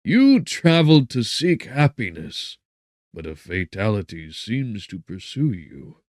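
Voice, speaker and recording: Man playing a mournful father, pitch lifted. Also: very animated, unhurried, dry recording, studio quality. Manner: sorrowful, formal. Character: mournful, loving father of a grown son. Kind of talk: audiobook character acting.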